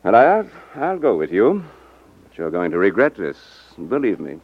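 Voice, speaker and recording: An animated, indignant radio suspect. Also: male voice, fairly low pitch, moderate pace, loud music behind the voice. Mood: indignant, defensive. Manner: defensive, sputtering. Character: indignant, blustering man accused of theft. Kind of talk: 1950s radio acting. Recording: muffled 1950s radio recording.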